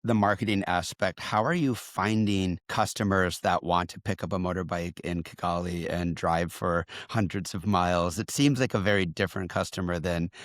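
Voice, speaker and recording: A male podcast host with a very deep voice asks measured, probing questions. His delivery is steady, and the sound is close and dry. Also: dry recording. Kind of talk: interview questions.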